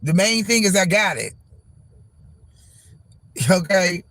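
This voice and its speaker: Hoarse man with a gravelly chest cold. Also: high-pitched, expressive, takes it slowly, a bit bright. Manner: rambling, profane, frank. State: hoarse, losing his voice. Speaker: vlogger. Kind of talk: casual vlog monologue. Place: parked car.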